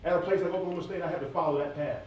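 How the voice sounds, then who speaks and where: Male American, candid and informal Q&A speaker, rapid delivery, very reverberant room with distant mic. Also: on the high side, steady tone, lo-fi sound. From southeastern United States, near Atlanta. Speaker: hip hop artist and former college athlete answering questions. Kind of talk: casual audience question-and-answer talk. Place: large echoey indoor room at a live event. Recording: echoey hall, distant mic, faint noise.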